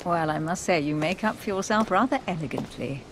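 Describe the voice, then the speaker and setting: A woman plays an enigmatic noblewoman in a game cutscene. She speaks in a lowish, refined voice, poised, flirtatious and evasive. A steady rumble is clearly heard behind her. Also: fairly quick, lightly acted. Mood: coolly evasive. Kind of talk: video game cutscene acting.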